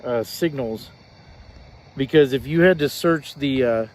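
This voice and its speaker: A man's voice: medium pitch, unhurried, darkish tone. A man giving a casual hands-on demonstration as a hobbyist, hesitant and thinking aloud as he goes. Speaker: hobbyist reviewer demonstrating a shortwave radio.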